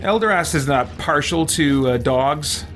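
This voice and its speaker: A man's voice: on the high side, lively intonation, a little slow. A man giving casual let's-play commentary as a game streamer, thinking aloud as he goes. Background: game music.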